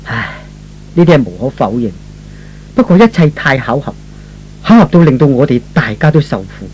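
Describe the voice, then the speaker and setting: A man's voice: mid-range voice, big pitch swings, normal speed, darkish tone, a little hiss or hum, close to an everyday voice, poor audio. A man playing a weary, sorrowful old father in a radio drama, sighing and lamenting as he speaks. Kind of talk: Cantonese radio drama acting.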